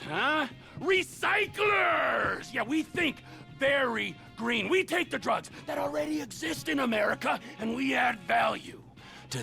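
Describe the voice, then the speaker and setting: An actor playing a volatile, manic drug boss in a video game, theatrical and erratic, swinging between shouts and mutters. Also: male voice, extremely high pitch, gravelly, heavily acted. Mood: mock earnest. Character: volatile, manic male drug boss rallying new recruits. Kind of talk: video game cutscene acting.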